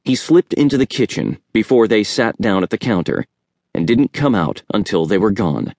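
A man's voice: fairly low pitch, animated, moderate pace, a little mellow, no echo, studio quality. A man reading a children's story aloud as scripted audiobook narration.

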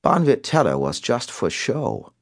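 A man voicing a sinister European criminal caller with an accent, speaking in a slow, flat, playfully menacing way. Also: mid-range voice, creaky, no echo, clean studio sound, voice pushed up, heavily acted. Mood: calm menace. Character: sinister European male bomber taunting police by phone.

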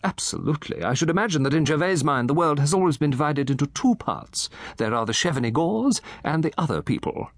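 A man voicing a gossipy, genteel elderly English gentleman, raised in pitch, chatty and lightly amused. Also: expressive, brisk, few pauses, dry recording, studio quality. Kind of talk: audiobook character voice.

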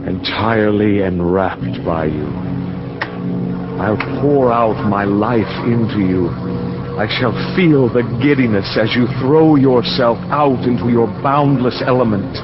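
A man's voice: mid-range voice, measured pace, lightly acted. An actor playing a privileged young dreamer who tells his story in intense, ornate radio drama narration. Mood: brooding, yearning. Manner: ornate, intense, poetic delivery. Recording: dull lo-fi audio under loud music.

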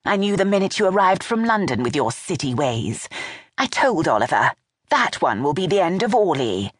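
A woman's voice: on the low side, big pitch swings, moderate pace, slightly rough, dry recording, clean studio sound, voice pushed up. Woman playing a cruel, snapping old woman. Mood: scornful. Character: sharp-tongued elderly woman, cold and scornful.

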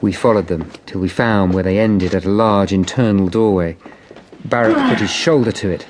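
A man's voice: on the low side, normal speed, darkish tone, a little hiss or hum, natural voice. A man playing an earnest, polite but persistent Tudor lawyer, narrating and speaking in a formal radio drama style. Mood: measured narrating. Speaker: narrator and lead character. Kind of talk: full-cast radio drama, scripted narration and dialogue.

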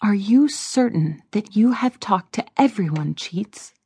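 A bossy, exasperated male fairy from a children's storybook, played by a woman. He gives orders impatiently in a soft-edged, mellow voice, close and dry. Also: mid-range voice, expressive, slightly rough, no room sound, full character voice. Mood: businesslike. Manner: brisk commands, weary exasperation.

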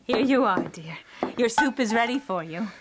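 An actress as a high-voiced, earnest young wife. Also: female voice, lively intonation, normal speed, very noisy, close to an everyday voice. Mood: tender. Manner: earnest, tender. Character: devout, anxious, gentle young wife. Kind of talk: children's audio drama acting.

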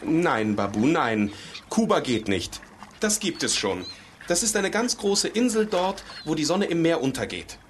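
A woman's voice: sits low, big pitch swings, a little slow, slight background noise. A woman voicing a sensible, somewhat grumpy creature in a children's audiobook, speaking in a firm, matter-of-fact way. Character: sensible practical creature, a bit grumpy boat builder.

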